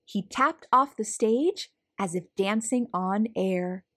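A woman reading a children's story aloud with expressive, deliberate phrasing. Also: mid-range voice. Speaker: storybook reader, host. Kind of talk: children's read-aloud narration.

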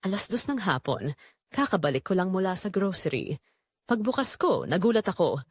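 Woman acting a lonely Filipina newlywed, lively pitch. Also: moderate pace, breathy edge, dry recording, studio quality. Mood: wistful, lonely. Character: lonely young newlywed wife confessing her secret. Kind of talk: scripted first-person audio drama narration.

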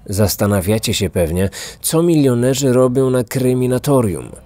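A man's voice: mid-range voice, lively intonation, moderate pace, mellow, dry recording. A man from Poland in his thirties narrating a scripted story as a podcast host. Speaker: true crime podcast host. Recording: music bed behind the voice. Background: background music.

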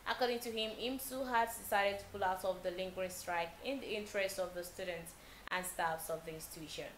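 A young Nigerian woman reading a news bulletin as a TV newsreader, steady and measured with small slips. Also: medium pitch, reverberant, a little hiss or hum, low-quality recording. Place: TV news studio.

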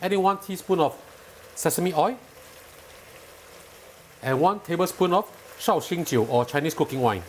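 A middle-aged man hosting a cooking show, explaining each step calmly and patiently in a home kitchen. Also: fairly high pitch, moderate pace, long pauses, a little hiss or hum. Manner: calm, patient and instructive, enthusiastic when tasting.

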